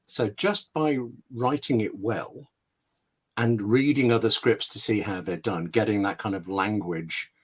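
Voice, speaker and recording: British guy, writer being interviewed, talks in an emphatic rambly way, pauses for effect, chatty advice. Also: lowish voice, pushed and bright, breathy edge. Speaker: scriptwriter, webinar guest. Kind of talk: conversational interview answers, giving writing advice.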